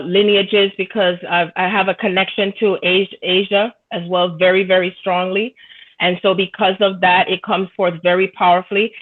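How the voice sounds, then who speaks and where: A woman from Jamaica, a guest on a spiritual talk show, speaking earnestly and rambling with many fillers and restarts. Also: mid-range voice. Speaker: podcast guest, spiritual reader. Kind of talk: casual spiritual conversation. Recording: tinny phone-like line.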